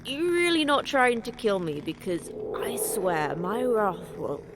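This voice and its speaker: A woman's voice: mid-range voice, big pitch swings, unhurried, noisy background. A woman playing a terse, irritable, sarcastic station manager in a sci-fi horror audio drama. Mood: angry, alarmed. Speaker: station manager of a secret facility.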